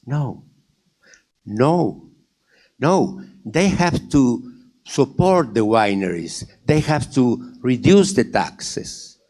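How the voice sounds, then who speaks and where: An Argentine man with a Spanish accent addresses a forum audience, his mid-range voice swinging widely in pitch. He talks at an unhurried pace, pressing his points with rhetorical questions he answers himself and with words said twice over. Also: expressive, a little mellow. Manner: repeats phrases for emphasis. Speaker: panel speaker at a wine industry forum. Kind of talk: conference panel talk.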